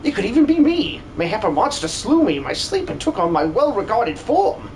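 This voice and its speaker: A man's voice: high-pitched, sing-song, brisk, slight background noise, voice pushed up, low-quality recording. A man voicing a pompous, gluttonous old soldier with theatrical, old-fashioned exclamations in a video game fan dub. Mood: indignant, alarmed. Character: blustery gluttonous old bearded soldier, paranoid about spies.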